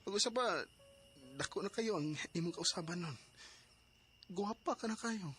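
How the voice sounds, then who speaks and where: A man's voice: high voice, normal speed, fairly bright tone, soft background music. An actor playing an eager young male suitor with a Cebuano Filipino accent, coaxing and earnest in a radio drama. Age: young adult. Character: young male suitor, formerly naughty, eager and sweet-talking.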